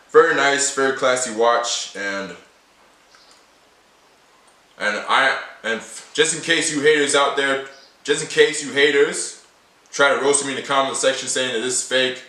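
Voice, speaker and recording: A man's voice: mid-range voice, pushed and bright, echoey room, recorded from a distance. A nineteen-year-old man vlogging to camera from a table in a small room, rambling and repeating himself as he shows his everyday carry. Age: late teens. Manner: repetitive, rambling, restates points often. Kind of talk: casual to-camera vlog.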